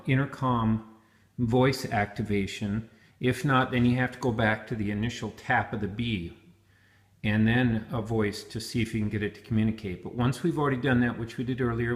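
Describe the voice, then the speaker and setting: A man giving an unscripted hands-on gear demonstration, explaining things step by step. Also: mid-range voice. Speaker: product reviewer demonstrating helmet intercoms. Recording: roomy, echoing sound.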